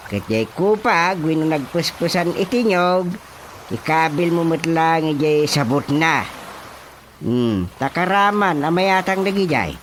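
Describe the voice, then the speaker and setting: An actor playing a village chairman and folk healer giving ritual instructions in a radio drama, deliberate, with drawn-out hums and an Ilocano accent. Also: fairly high pitch, fairly bright tone, slight background noise. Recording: faint music behind the voice.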